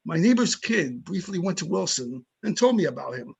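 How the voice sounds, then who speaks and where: A man playing a tough but amicable older police chief in an amateur readers theater, plainspoken and opinionated, with a husky voice. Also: high voice, very animated, normal speed, heavily acted. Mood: disdainful. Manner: plainspoken, opinionated, small-town storytelling. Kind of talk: amateur readers theater reading over videoconference.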